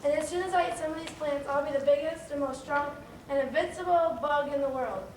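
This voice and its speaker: Woman playing a high-voiced evil ant, echoey stage. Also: brisk, a little mellow, lightly acted. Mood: gloating, defiant. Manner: theatrical, declaiming stage villain. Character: menacing, boastful evil ant with a grudge. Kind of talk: children's stage play acting. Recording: echoey stage, distant mic, background noise.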